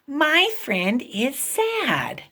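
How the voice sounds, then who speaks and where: A woman voicing an eager, caring young female pig from a children's picture book, with expressive, drawn-out delivery. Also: high voice, pitched above the performer's own voice, heavily acted. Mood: earnest, caring.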